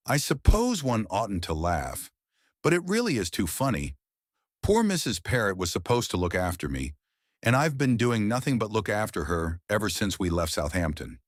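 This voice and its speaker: A man's voice: lowish voice, very animated, moderate pace, dry recording, studio quality. Man voicing a lilting, spirited young society woman. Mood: amused.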